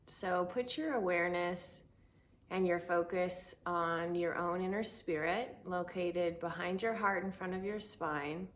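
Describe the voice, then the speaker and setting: A woman leading a spiritual guided meditation, speaking in a soothing, deliberate way with pauses between phrases. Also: fairly low pitch. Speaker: guided meditation and prayer host.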